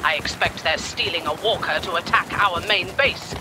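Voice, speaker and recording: A man playing a stern, cold Imperial colonel in a video game, issuing crisp, authoritative orders. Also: high-pitched, normal speed, background noise, natural voice. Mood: stern, commanding. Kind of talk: scripted game mission briefing.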